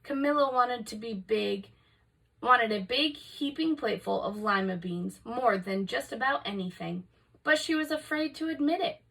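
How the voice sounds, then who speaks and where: A woman reads a children's storybook aloud with expressive narration. Her voice is high and bright with a noticeable creak, and she sits slightly away from the microphone. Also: normal speed, far from the mic, low-quality recording. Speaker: storybook reader for remote learning.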